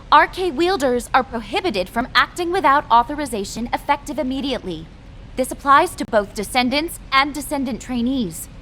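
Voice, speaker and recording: A woman's voice: high voice, a bit bright, slight background noise. A woman playing a composed military officer in a sci-fi game, speaking in a formal, official manner. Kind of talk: formal scripted briefing.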